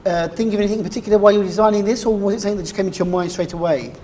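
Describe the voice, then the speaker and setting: A woman's voice: mid-range voice, very fast, warm tone, a little hiss or hum. A woman from the UK hosting a TV interview, chatty and leading her guests with lots of "I mean". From United Kingdom. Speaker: TV talk show host. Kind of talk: broadcast interview.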